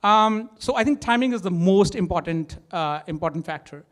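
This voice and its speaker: A man's voice: high-pitched, animated, moderate pace. A man with a thick Indian accent giving a live conference talk on stage, speaking with many fillers, restarts and "right?" tags. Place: conference stage before an audience.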